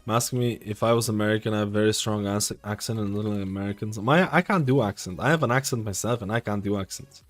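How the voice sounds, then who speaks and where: Low-voiced male game streamer, casual and opinionated. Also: fast, hardly any pauses, soft background music. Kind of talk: casual live gaming commentary.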